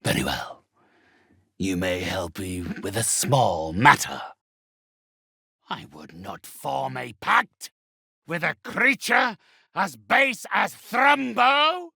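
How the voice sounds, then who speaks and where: Slow, gravelly undead necromancer. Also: male voice, on the low side, pushed and bright, dry recording, clean studio sound, full character voice. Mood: scornful, commanding. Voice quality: gravelly, husky, often dropping to a breathy whisper. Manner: slow, theatrical, condescending, formal. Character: haughty, sinister old undead necromancer, male, coldly mercenary. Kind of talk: video game character acting.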